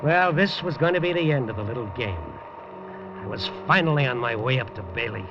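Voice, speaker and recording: A man's voice: medium pitch, animated, fairly quick, lightly acted. An actor narrating as a wisecracking male newspaper reporter in a 1950s radio drama, dry and hardboiled. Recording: dull lo-fi 1950s radio broadcast with music.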